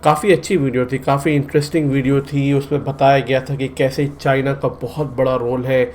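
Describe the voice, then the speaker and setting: A man's voice: mid-range voice, flat delivery, fairly quick, darkish tone, slight background noise. A man with a Delhi Hindi accent from India, hosting a casual reaction video in an earnest, sometimes pleading way.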